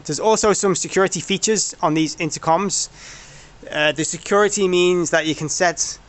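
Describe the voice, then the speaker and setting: Man presenting a casual product demo, voice a little high, hesitant and self-correcting delivery. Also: normal speed, fairly bright tone. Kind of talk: informal technical walkthrough.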